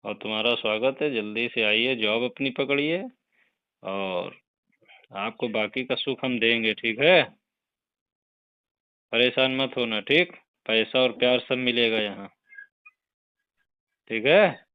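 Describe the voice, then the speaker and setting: A man's voice: medium pitch, slow pace, long pauses, clear, resonant tone, no echo, close to an everyday voice. A man playing a flirtatious boss in a phone conversation, coaxing and often checking that he is being followed. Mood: reassuring, insistent. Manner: coaxing, repeatedly checks for agreement. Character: flirtatious male boss coaxing a former employee back. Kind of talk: staged phone call conversation. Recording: tinny phone line.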